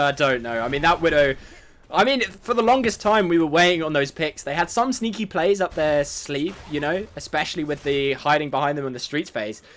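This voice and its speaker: A man's voice: on the high side, animated, brisk, a bit bright. An Irish man casting a live esports match in an upbeat way, laughing often. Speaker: esports caster. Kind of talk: live play-by-play commentary.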